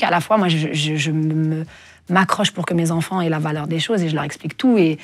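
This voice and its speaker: Woman, 40s, lowish husky voice, dry close sound, reflective interview guest who pauses a lot. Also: dry recording. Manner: reflective, soft-spoken with frequent hesitations. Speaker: guest singer. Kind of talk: candid interview talk.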